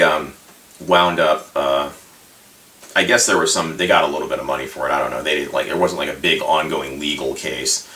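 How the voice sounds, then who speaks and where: A man giving a casual, rambling hobby commentary as a video host, halting and informal, often circling back as he talks. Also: extremely low pitch, sharp tone. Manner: halting, hesitant, meandering, informal. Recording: roomy echo, distant microphone.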